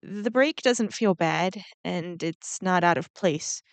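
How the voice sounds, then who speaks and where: A woman voicing a kindly, flustered giant ant queen in a roleplay audio drama, speaking gently and hesitantly to the listener. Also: on the low side, takes it slowly, no echo. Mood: caring, flustered. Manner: gentle, hesitant, rambling with small nervous laughs.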